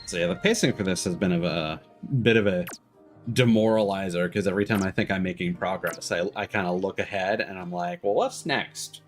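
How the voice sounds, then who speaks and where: A man's voice: low-pitched, lively intonation, a little fast, vocal fry, faint music behind. A man giving casual, rambling and candid live-stream commentary as a game streamer, with a dryly critical manner.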